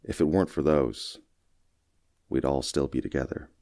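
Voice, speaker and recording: A man reading a first-person short story as an audiobook narrator, in a measured, folksy storytelling manner. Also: a bass voice, steady tone, mellow, no echo.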